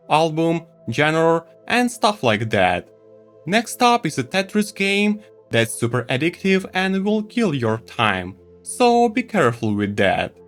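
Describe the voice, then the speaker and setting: Lively man reviewing gear over faint music. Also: a little high. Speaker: product reviewer. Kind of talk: semi-scripted video review narration.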